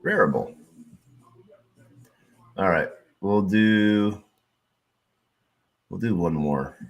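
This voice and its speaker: A man's voice: sits low, drawn-out words, lo-fi sound. A man hosting a casual livestream, talking loosely with fillers and the odd swear word. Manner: relaxed, swearing, plenty of fillers; hype announcer voice when introducing a guest. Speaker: livestream host and crypto content creator.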